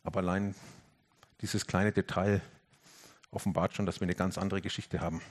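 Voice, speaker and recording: Man, lower register; earnest preacher, moves between emphatic lifts and hushed passages. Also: level tone, moderate pace. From Franconia, Germany. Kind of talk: reflective sermon.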